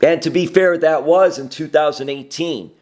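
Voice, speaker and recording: Man, sports interviewer, fairly high pitch, conversational, encouraging, wordy questions. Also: moderate pace, darkish tone. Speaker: interviewer, host.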